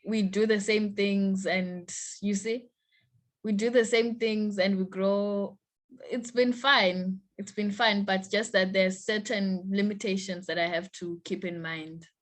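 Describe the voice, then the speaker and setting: A young woman from Zimbabwe with a Zimbabwean accent, an interview guest who speaks haltingly and repeats her words. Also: mid-range voice, fairly even intonation. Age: young adult. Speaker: guest, university student.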